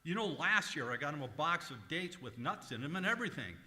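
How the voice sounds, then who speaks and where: A man plays an exasperated, penny-pinching radio comedian. The voice is forceful and piercing, swings animatedly, and hesitates before drawn-out indignation, all in a long, echoey hall. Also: on the high side, lively intonation. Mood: chatty. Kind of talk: old-time radio comedy acting, live stage revue. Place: live performance in a large museum room. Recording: echoey museum hall, live stage.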